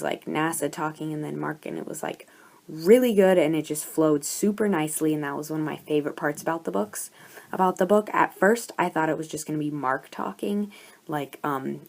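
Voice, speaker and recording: A woman with a hoarse voice from a cold, chatting and gushing in a casual book review vlog, full of "like" fillers. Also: low-pitched. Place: at home.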